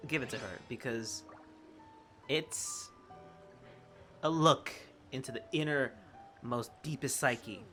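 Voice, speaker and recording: A game streamer doing casual, expressive commentary and reading on-screen text aloud in an informal way. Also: medium pitch. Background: game music.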